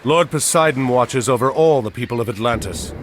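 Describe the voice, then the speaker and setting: A man's voice: mid-range voice, brisk, noisy background, close to an everyday voice. An actor playing an earnest, commanding heroic admiral, a man, in a video game cutscene. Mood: defiant.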